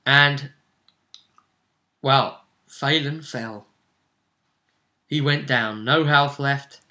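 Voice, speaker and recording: Bright-voiced man telling a dramatic story. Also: mid-range voice, extremely slow. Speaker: game master recapping a session. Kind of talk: animated conversational storytelling.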